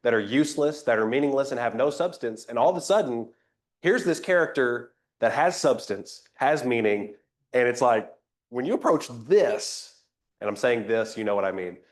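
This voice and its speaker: A man's voice: mid-range voice, lively intonation, normal speed. A man teaching an interactive Bible class, a little nasal from a cold, conversational and asking the group questions. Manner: conversational, invites comments, rhetorical questions.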